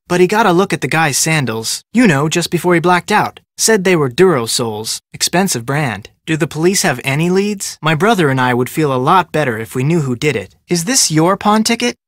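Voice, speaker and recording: A man's voice: on the high side, fairly bright tone, dry recording, studio quality. A man playing an inquisitive, level-headed young American amateur detective in a video game, speaking in a casual, probing way. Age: young adult. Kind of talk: scripted game dialogue.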